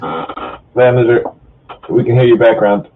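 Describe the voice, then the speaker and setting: A man's voice: mid-range voice, measured pace. A man chatting casually and reading a story aloud with friends over an online voice call. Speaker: amateur fan fiction reader. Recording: muffled, phone-like online voice call.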